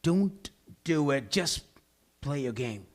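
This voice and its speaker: A man's voice: mid-range voice, lively intonation, slow. A man playing a smug, condescending, hot-tempered bowler in a live comedy table read. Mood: firm, coaxing.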